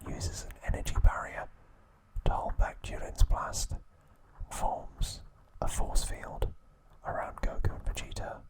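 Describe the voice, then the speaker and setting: A man whispering ASMR trivia narration in a soft, steady voice, with casual asides now and then. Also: unhurried. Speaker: ASMR creator.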